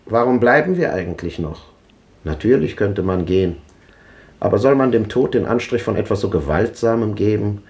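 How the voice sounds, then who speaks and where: A man's voice: on the low side, lively intonation, normal speed, darkish tone. A dry, ironic old man. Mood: wry. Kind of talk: 1950s radio drama acting.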